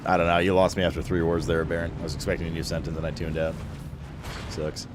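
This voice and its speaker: Deep-voiced man, Romanian-born, profane rambling streamer over heavy game noise. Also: completely flat delivery, fast talker. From Canada. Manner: rambling, profane, joking banter. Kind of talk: casual gaming stream chat. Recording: loud game noise behind voice.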